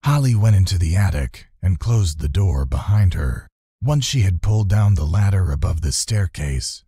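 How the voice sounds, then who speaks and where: A man reading a children's fantasy audiobook as narrator, telling the story in a measured, scripted way. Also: deep voice, dark tone, husky, dry recording, clean studio sound.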